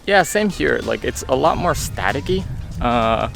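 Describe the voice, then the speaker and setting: A man's voice: medium pitch, expressive, measured pace, creaky, a bit bright. A man in his twenties chatting casually as a vlog host out on a street. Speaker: vlog host reviewing gear. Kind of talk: casual chat. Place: outdoors on a suburban street. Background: background music.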